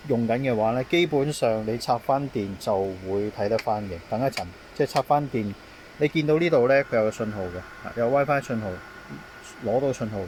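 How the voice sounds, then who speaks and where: A man's voice: medium pitch, a little mellow, poor audio. A man with a Hong Kong Cantonese accent explains a device setup informally as an installer, repeating his points as he goes. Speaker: intercom installer, technician. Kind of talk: informal product demonstration and handover explanation. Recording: clear background noise, on-site ambience.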